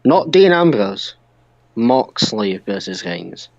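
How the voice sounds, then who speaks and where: A man's voice: fairly low pitch, very animated, takes it slowly, creaky, darkish tone, dry recording, lo-fi sound. A British man chatting casually and with strong opinions as co-host of a wrestling podcast. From United Kingdom.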